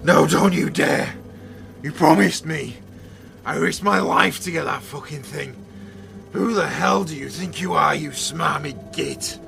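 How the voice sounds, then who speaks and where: A man acting an exhausted, betrayed and angry soldier in an audio drama, with a gravelly voice. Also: a little high, measured pace, a bit bright, soft background music, exaggerated voice. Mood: indignant. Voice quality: gravelly, creaky.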